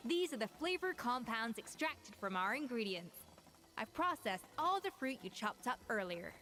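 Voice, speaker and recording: Exacting female head chef, poised and instructive, fairly high voice, game role. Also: sing-song, normal speed. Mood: explaining. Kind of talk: video game voice acting. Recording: game music behind the voice.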